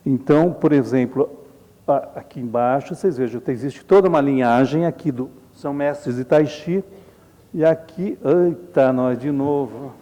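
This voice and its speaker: A middle-aged Brazilian man giving a relaxed symposium lecture, explaining slides in a chatty way and often adding tag questions. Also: mid-range voice, moderate pace. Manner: conversational, frequent tag questions, chuckles often. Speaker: Jungian analyst lecturing at a symposium. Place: lecture hall. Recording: reverberant lecture hall.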